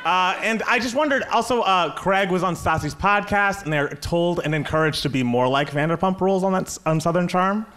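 A man's voice: sits high, a little fast, hardly any pauses, a bit bright. A man in a live audience, chatting eagerly and casually as he shares gossip and asks a question. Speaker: audience member at the microphone. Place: theatre audience. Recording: live event with clear crowd noise.

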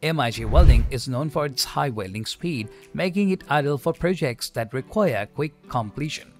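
A man narrating a scripted explainer video in an even, polished delivery without fillers. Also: mid-range voice, moderate pace, faint music behind. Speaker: channel narrator.

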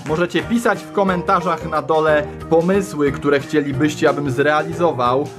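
A man's voice: fairly high pitch, fairly even intonation, normal speed. A young man presenting a playful, informal toy review show in an enthusiastic, slangy way. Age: young adult. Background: background music.

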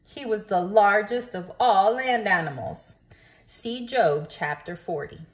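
A woman reading a children's book aloud in a deliberate, expressive way, as if speaking directly to young listeners. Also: medium pitch. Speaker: storybook reader. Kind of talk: children's read-aloud narration.

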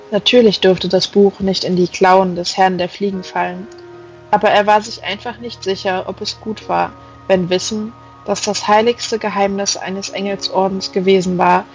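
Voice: medium pitch, highs cut off. A reader narrating a fantasy novel aloud as a scripted audiobook.

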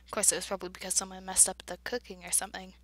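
A woman playing a game, reading its dialogue aloud and reacting with big emotional swings between loud outbursts and hushed narration. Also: medium pitch, flat delivery, racing. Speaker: let's play voice-over player.

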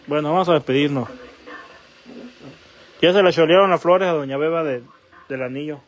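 A man's voice: on the high side, moderate pace. A man hosting a casual livestream, chatting in short coaxing questions and instructions. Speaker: livestream host. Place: small house kitchen and living room, indoors. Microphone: handheld phone. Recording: noisy handheld phone in a kitchen.

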